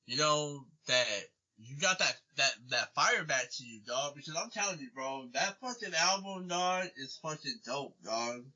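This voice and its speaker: A man hosts a laid-back interview, full of slang and calling his guest "bro" and "dog". His voice sits a bit high and sounds very sharp and bright. The recording is somewhat dull and lo-fi. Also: lively intonation, a little slow, hardly any pauses. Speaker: podcast host. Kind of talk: casual interview chat.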